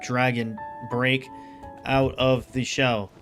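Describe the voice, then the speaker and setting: A woman's voice: rumbling low, slow pace, soft background music. A woman streaming a video game, chatting casually and reacting expressively to the story. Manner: expressive, reacting loudly with gasps and jokes. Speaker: gaming streamer.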